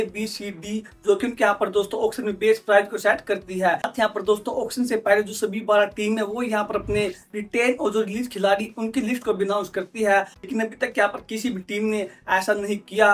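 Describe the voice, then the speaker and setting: A man, presenting a sports update to camera and addressing viewers as friends. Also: high voice. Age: 20s.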